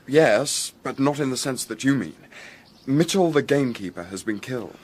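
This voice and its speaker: An actor playing a shrewd, reserved Victorian gentleman investigator in a period radio drama, speaking in dry, formal, terse phrases. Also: medium pitch, animated, normal speed, lightly acted. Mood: measured, dry.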